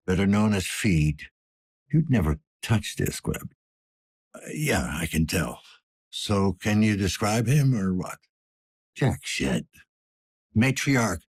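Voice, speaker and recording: Very husky, low, weary detective. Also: male voice, heavily acted. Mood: wry, weary. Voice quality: gravelly, husky, breathy rasp. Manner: world-weary, terse, dry. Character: weary, gruff older male cyberpunk police detective. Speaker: voice actor in a video game. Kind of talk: scripted game character dialogue.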